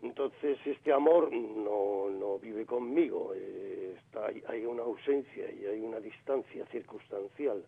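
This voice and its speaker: Older guy from granada on a tinny phone line, husky, gravelly, lots of ums and ehs. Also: lowish voice, unhurried, few pauses. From Granada, Spain. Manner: reflective, many fillers and restarts. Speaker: poet, phone guest. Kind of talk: radio phone interview. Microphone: telephone line. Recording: phone-line radio call.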